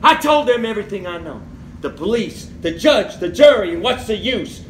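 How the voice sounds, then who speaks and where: Actor as high-voiced, bitter accused man on stage. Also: very animated, normal speed. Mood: agitated, despairing. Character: accused man Gordon Kemp, bitter, weary tinkerer son. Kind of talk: live stage acting of a radio play. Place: small theatre stage. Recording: echoey theatre, distant mic, noisy background.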